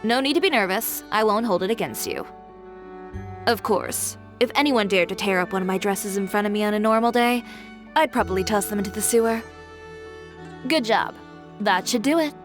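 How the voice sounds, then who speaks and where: Actress as cool, deadpan woman designer. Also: medium pitch, faint music behind, lightly acted. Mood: composed. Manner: deadpan, brisk, composed. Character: cool, blunt, dry-witted young woman fashion designer. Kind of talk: video game voice acting.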